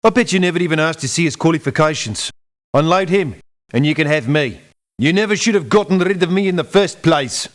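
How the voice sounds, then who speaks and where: An actor voicing a proud, sardonic doctor turned mercenary in a video game, haughty and scolding. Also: male voice, on the high side, expressive, brisk, lightly acted. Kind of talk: video game character lines.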